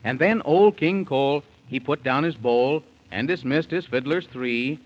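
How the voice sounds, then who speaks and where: A man's voice: mid-range voice, unhurried. A man narrating a 1930s radio bedtime story in theatrical, folksy, sing-song rhyme. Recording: dull 1930s radio recording.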